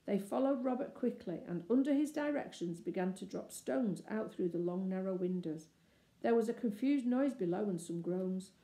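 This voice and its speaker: A woman reading a children's novel aloud in expressive, scripted narration. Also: medium pitch, moderate pace. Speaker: storybook reader. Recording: echoey, roomy sound.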